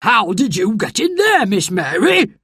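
A woman voicing a gruff, cross old male gardener in a storybook reading. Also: fairly high pitch, big pitch swings, very slow, no room sound, clean studio sound, voice pushed up, heavily acted. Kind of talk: storybook character voice.

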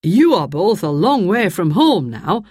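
A woman's voice: fairly low pitch, very animated, unhurried, slightly rough, dry recording, clean studio sound, full character voice. A woman voicing a stern but kind male train driver in a storybook reading. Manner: stern then gentle.

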